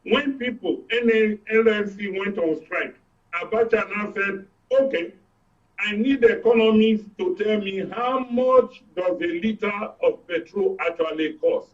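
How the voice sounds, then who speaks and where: High-pitched older Nigerian man, explaining emphatically. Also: unhurried, recorded from a distance, narrow, tinny audio. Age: 60s or older. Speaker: guest economist. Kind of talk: explanatory political discussion on a live broadcast.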